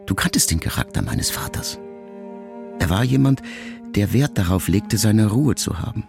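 A man with a very low, gravelly voice reads a literary letter aloud. His delivery is measured and reflective, pausing often between phrases. Clear music plays underneath the narration. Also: animated. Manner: measured, reflective reading with long pauses. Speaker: audiobook reader. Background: soft music.

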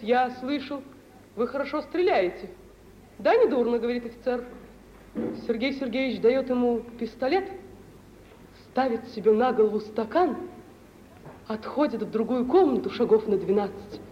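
An actress playing a proud, high-strung young woman in love, theatrical and emotional, in a 1950s Soviet radio drama. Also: close to an everyday voice. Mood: rapt, wistful. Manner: theatrical, emotional, drawn-out delivery. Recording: dull, hissy old radio drama recording.

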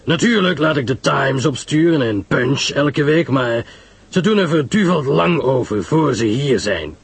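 A man playing a gruff, grumbling old British general in an audio drama, pausing as he talks. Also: mid-range voice, expressive, pitched above the performer's own voice, heavily acted.

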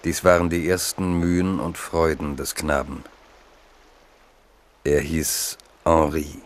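A man narrating a literary radio drama in a measured, deliberate way. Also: extremely low pitch, flat delivery.

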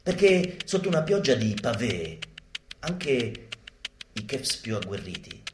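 A man performing a theatrical spoken-word monologue in a deliberate, dramatic manner, moving between declaiming and hushed delivery. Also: mid-range voice, sing-song, background noise. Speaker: stage actor and monologue performer. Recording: roomy live sound with music behind. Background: live instrumental music.

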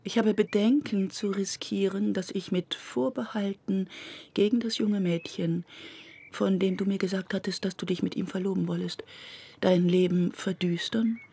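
A mother of a grown son, worried and disapproving. Her middle-aged voice is husky and breathy, and she phrases everything formally and with restraint, as in a period radio play. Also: mid-range voice, fairly even intonation. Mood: hushed, worried. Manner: measured, formal, hushed. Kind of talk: literary radio drama acting.

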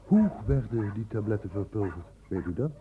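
An actor playing a sharp, precise doctor who questions and explains in a Dutch radio play. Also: male voice, on the low side, animated, moderate pace, close to an everyday voice. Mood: probing, doubtful. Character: sharp, probing male doctor investigating an infection outbreak. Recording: dull, noisy old radio recording.

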